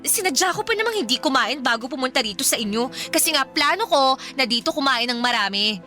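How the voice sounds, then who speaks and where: An actress playing a blunt, snobbish young girlfriend with a bratty, sharp-tongued manner in a Filipino radio drama. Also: high-pitched, lively intonation, fairly quick, soft background music. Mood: defiant, scornful.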